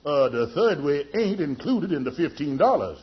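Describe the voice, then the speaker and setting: A self-important, cut-rate lawyer in 1940s radio comedy. The voice is very high and husky, with lively swoops. He explains things grandly, drawing them out, on a dull old recording. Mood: pompous. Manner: pompous, drawn-out explaining. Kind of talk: 1940s radio sitcom acting. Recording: muffled vintage radio recording.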